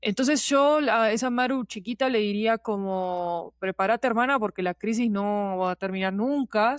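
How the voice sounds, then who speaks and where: A woman's voice: medium pitch, dry recording, clean studio sound. A woman gives a reflective, candid interview answer in colloquial speech, often checking that the listener follows. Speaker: podcast guest, fiction podcast producer.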